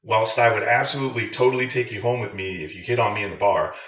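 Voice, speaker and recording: A man's voice: on the low side, fast talker, fairly bright tone, reverberant. A man delivering a profane, sarcastic rant to his viewers as a veteran and video creator. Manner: crude, sarcastic, swearing.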